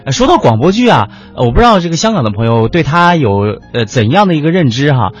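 A man co-hosting a radio programme in conversational mainland standard Mandarin, measured, with small pauses. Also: fairly high pitch, sing-song, husky. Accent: mainland Chinese standard Mandarin. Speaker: radio host. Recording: slightly dull audio with music underneath. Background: background music.

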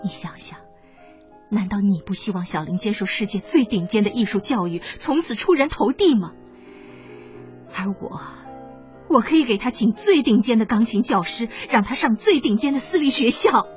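A woman's voice: a little high, lively intonation, moderate pace, highs cut off, faint music behind, lightly acted. An actress playing a wealthy, ambitious middle-aged mother in a radio drama, earnest and insistent as she pleads and persuades. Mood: persuasive. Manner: earnest, insistent, pointed rhetorical questions. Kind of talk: Chinese radio drama acting.

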